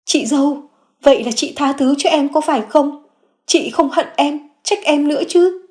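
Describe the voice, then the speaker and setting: A woman voicing a remorseful middle-aged sister-in-law who begs for forgiveness in a high, tearful voice. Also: moderate pace, a little mellow, close to an everyday voice. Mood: hopeful. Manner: begging, shrill and tearful.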